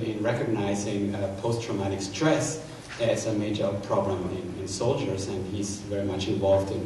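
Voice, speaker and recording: A man with a German accent speaks haltingly as a moderator, giving an informal introduction to an audience. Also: on the low side, a little mellow. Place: large hall, town hall event. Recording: echoey hall, distant mic, faint noise.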